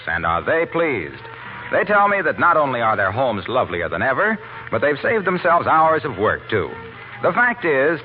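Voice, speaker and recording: A man's voice: mid-range voice, phone-quality sound. A man announcing in polished, persuasive 1940s radio style from a script. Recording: thin, band-limited vintage radio recording.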